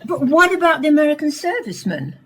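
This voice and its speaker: A woman's voice: high-pitched, big pitch swings, quick pace. A woman playing the host of a science discussion show in a radio play.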